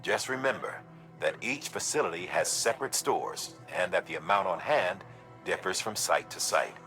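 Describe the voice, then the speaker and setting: An actor playing an instructive adviser in a video game, explaining things in an orderly way. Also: male voice, sits low, lively intonation, normal speed, pushed and bright, faint music behind. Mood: informative.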